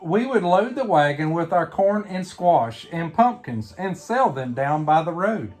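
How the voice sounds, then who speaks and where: A woman reading a picture book aloud to a young child, pausing to ask simple questions. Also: lowish voice, very animated, darkish tone, soft background music. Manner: simple questions and prompts addressed to a small child. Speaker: bedtime story reader.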